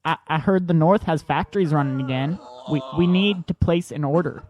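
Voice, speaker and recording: A nervous doctor, played by a man in an audio drama, stammering anxiously in a higher voice. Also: a little fast, dull audio, lightly acted.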